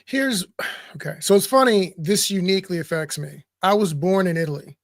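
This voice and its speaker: A man's voice: sits high, moderate pace, no room sound, studio quality. A man co-hosting a casual political talk show, talking bluntly and animatedly and laughing between points. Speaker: co-host.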